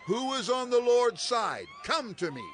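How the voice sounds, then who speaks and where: A man's voice: extremely high pitch, lively intonation, unhurried, a bit bright, slight background noise, exaggerated voice. A man playing an impassioned, stern prophet and leader, declaiming dramatically in a dramatized audio Bible. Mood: commanding. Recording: faint music behind the voice.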